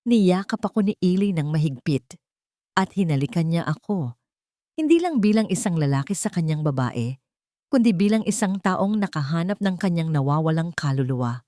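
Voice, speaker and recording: Low-pitched woman narrating a romance story. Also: expressive, normal speed, dark tone, no room sound, studio quality. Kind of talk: dramatic scripted first-person narration of a romance story.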